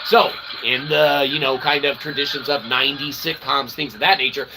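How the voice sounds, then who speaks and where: A man's voice: medium pitch, moderate pace. A man livestreaming a rambling, tongue-in-cheek monologue in verbose, pseudo-formal phrases, often adding short tag questions. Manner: tongue-in-cheek mock philosophizing. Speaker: livestream host. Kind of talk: rambling casual monologue to live viewers. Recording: steady background noise.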